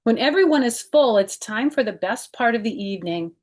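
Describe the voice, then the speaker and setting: A woman's voice: a little high, lively intonation, fairly quick. A woman hosting a children's storytime, reading a picture book aloud expressively and adding chatty, informal explanations.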